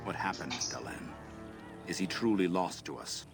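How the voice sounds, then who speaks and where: Fantasy game elder, old male elf storyteller: extremely low, measured, mournful, poetic, over clear music. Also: animated. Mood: grave, sorrowful. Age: older.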